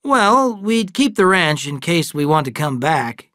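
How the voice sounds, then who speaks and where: A man voicing a grave, widowed father of twins who speaks in a measured, earnest way. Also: a little high, very animated, fairly bright tone, dry recording, studio quality, pitched above the performer's own voice.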